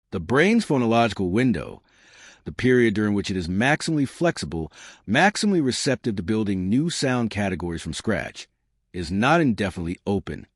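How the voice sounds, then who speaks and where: Man, scripted narration, measured and deliberate, dry close sound, animated pitch. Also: no room sound. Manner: measured and deliberate, with slow emphatic short sentences. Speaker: narrator of a science explainer channel.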